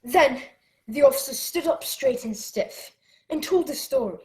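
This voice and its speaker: A woman's voice: sits high, measured pace, warm tone, low-quality recording. A woman playing an earnest, respectful boy who narrates his adventure in a radio drama. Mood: grave recounting. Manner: earnest, careful storytelling.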